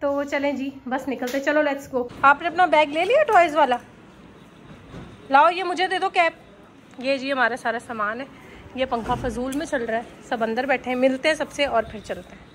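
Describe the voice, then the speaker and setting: Young female family vlogger from Pakistan, slightly high voice, phone mic, noisy station background. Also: normal speed, fairly bright tone. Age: young adult. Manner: chatty, talks to camera while travelling. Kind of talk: casual vlog narration. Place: train station and sleeper train carriage. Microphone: phone camera held at arm's length. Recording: noisy crowded station and train. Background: station crowd and train noise.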